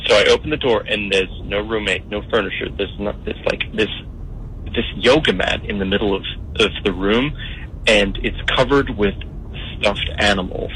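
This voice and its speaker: A man's voice: fairly low pitch, moderate pace, a bit bright, a little hiss or hum, low-quality recording. A young man calling in to a radio show, telling his story in a hesitant, rambling way full of fillers. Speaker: caller.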